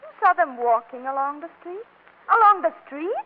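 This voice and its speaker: A woman's voice: high voice, lively intonation, normal speed. A woman playing a spirited, exasperated young wife in a 1940s radio comedy, emphatic and easily flustered. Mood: surprised, hurried. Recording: tinny, band-limited old radio recording.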